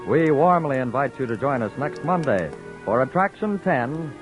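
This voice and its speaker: A man's voice: medium pitch, animated, normal speed. A man making an inviting announcement about next week's radio program. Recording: old broadcast over music.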